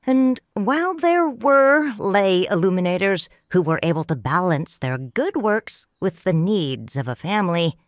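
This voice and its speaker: Low-voiced woman narrating slowly. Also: no room sound. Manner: tender, reflective, first-person storytelling. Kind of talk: audiobook narration. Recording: dry studio voice with soft music.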